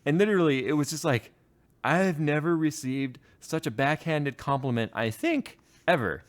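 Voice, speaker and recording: A man's voice: fairly high pitch, moderate pace. A man hosting a casual stream, telling comic stories with some swearing and acted-out dialogue. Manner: animated, profane, acts out dialogue. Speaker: tech YouTuber, stream host. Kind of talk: casual chat, comic storytelling.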